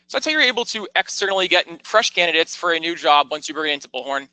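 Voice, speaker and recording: A man with a fairly high, bright voice presents a software demo. He talks informally and explains each step in turn. The sound is close and dry, like a screen-recording voice-over. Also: lively intonation, very fast, clean tone, no room sound, poor audio. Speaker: software product demonstrator. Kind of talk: conversational product tour narration.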